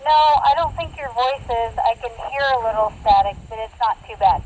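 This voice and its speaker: A woman's voice: a little high, normal speed, darkish tone. A woman giving brief, casual replies through a walkie-talkie as a helper in a radio test. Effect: walkie-talkie radio transmission. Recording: narrow, noisy walkie-talkie radio signal.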